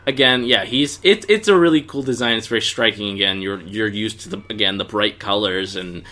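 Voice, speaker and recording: A man's voice: fairly low pitch, fairly quick, bright, forceful tone. A man co-hosting a podcast, giving opinionated critique in a casual, conversational review style. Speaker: podcast co-host reviewing animated series.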